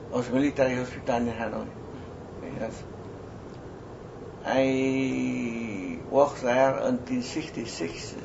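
An elderly man with a Vietnamese accent, whose first language is French, recalls his life in an oral history interview, speaking haltingly with many restarts and fillers. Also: mid-range voice, creaky. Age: older. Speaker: interviewee, retired army surgeon. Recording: lo-fi noisy recording.

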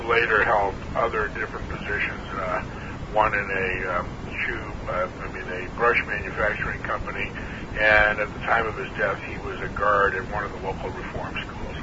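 Sixties man, interviewee, halting and throaty; muffled, hissy phone recording. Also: fairly low pitch. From New Hampshire. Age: 60s. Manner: hesitant, many uh fillers, measured. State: clearing his throat, frog in throat. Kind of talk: oral history interview.

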